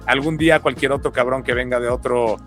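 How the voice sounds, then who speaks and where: Bright-voiced Mexican man chatting. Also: medium pitch, fairly quick, soft background music. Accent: Mexican Spanish. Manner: relaxed, slangy, many fillers and restarts. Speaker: podcast co-host. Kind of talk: casual podcast chat.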